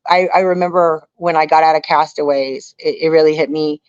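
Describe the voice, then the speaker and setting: A woman's voice: deep voice, a little fast, clear, resonant tone, dry recording, highs cut off. A woman telling stories as an interview guest in a casual, chatty way, often adding "you know". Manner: chatty, animated retelling with frequent "you know". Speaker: reality TV survival contestant, interview guest. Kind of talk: casual conversational storytelling.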